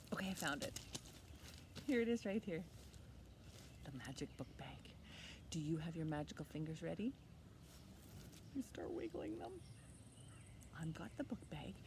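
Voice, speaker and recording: A woman with a mid-pitched voice leads a storytime for little children. She takes her time, speaking in a sing-song manner and repeating key words as she teaches with simple questions. The recording carries clear background noise. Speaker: children's library storytime host.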